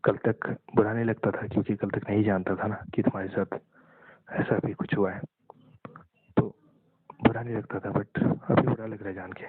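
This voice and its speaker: A young man in an intimate phone conversation, talking in a halting, subdued, resigned way. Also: lowish voice, flat delivery, slight background noise. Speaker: caller talking with an ex-partner. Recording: muffled narrow-band phone call, echoey.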